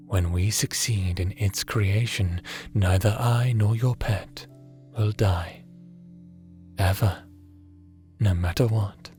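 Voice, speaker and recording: A man playing a gentle, fatherly creator god with a hidden weariness in a scripted audio roleplay, soft-spoken and deliberate, sometimes slipping into a whisper. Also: deep voice. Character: gentle fatherly creator god, tender mentor, secretly haunted and weary.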